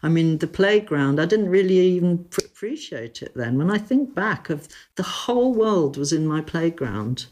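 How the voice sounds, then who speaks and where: A woman's voice: on the low side, expressive, moderate pace, few pauses, darkish tone, a little hiss or hum. An older woman, reminiscing chattily as an interview guest and repeating phrases for emphasis. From London, England. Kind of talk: reminiscing in casual conversation.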